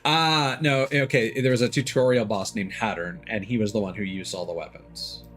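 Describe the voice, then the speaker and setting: A man's voice: on the low side, steady tone, normal speed, fairly bright tone, faint music behind. A man streaming a video game, thinking aloud in casual commentary that rambles through frequent ums and restarts.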